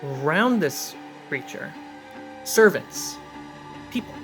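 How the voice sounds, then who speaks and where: A game master narrating a fantasy tabletop adventure in a measured way, pausing between short atmospheric phrases. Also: medium pitch, big pitch swings. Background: cinematic background music.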